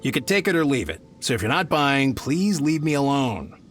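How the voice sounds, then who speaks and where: Mid-pitched shifty male trader, hard-bargaining, very animated, faint music behind. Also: normal speed. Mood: defensive. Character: shifty, greedy merchant man driving hard bargains. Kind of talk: video game character acting.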